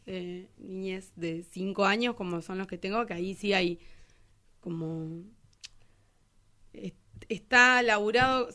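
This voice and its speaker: A woman with an Argentine accent, a guest telling animated anecdotes in slang during a casual radio interview. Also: medium pitch. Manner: animated storytelling, quoting dialogue in slang. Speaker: guest, singer and teacher.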